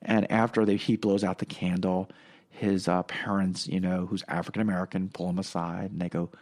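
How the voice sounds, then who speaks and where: A middle-aged man whose first language is Korean, speaking in a fairly low voice. He tells personal stories casually and rambles, with frequent fillers, restarts and small chuckles. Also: little pitch movement, fairly quick, a little mellow. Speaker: podcast guest.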